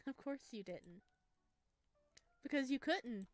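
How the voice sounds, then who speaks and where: A woman voicing a gentle, self-deprecating young male forest monster from a visual novel, soft-spoken and playfully teasing. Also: high-pitched, expressive, takes it slowly, no room sound. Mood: wry, teasing.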